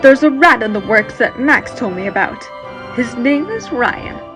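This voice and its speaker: Slow, haughty evil sorceress queen, acted by a woman. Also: a little high, lively intonation, music in the background. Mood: scheming. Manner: haughty, commanding, menacing. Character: imperious, power-hungry evil sorceress queen. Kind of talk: audio drama villain acting.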